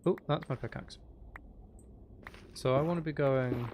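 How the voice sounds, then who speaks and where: A man's voice: medium pitch, measured pace, noisy background. A man giving casual gameplay commentary, muttering and thinking aloud to himself. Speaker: gaming video host.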